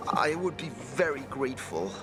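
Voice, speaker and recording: A man's voice: mid-range voice, lively intonation, normal speed, music in the background, close to an everyday voice. A man playing a young apprentice inventor in a video game, chatty, earnest and unsure of himself. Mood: earnest, hopeful. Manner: chatty, earnest, self-doubting. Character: young, eager, self-doubting male apprentice inventor. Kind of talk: video game cutscene acting.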